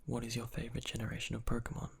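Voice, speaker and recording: A young man, an ASMR channel creator, answers viewer questions in a soft whisper, rambling with fillers. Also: medium pitch, monotone, very fast, vocal fry, breathy edge.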